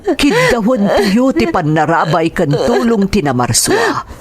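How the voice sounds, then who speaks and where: A woman's voice: medium pitch, huge pitch swings, measured pace, a crowd in the background. An actress playing a female doctor who earnestly reassures a worried mother.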